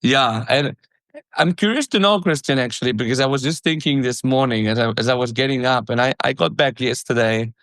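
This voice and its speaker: A male podcast host keeps the talk casual and friendly, his phrases tumbling and restarting. His voice sits at an ordinary middle pitch in a dry, close recording. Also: a little fast, fairly bright tone, no room sound. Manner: chatty, friendly, stumbling restarts.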